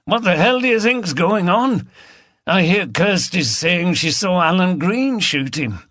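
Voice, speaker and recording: Man playing a high-pitched, incredulous village gossip. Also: measured pace, dry recording, clean studio sound. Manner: excitable, blunt, mildly sweary. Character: gossipy village shopkeeper, incredulous pub regular.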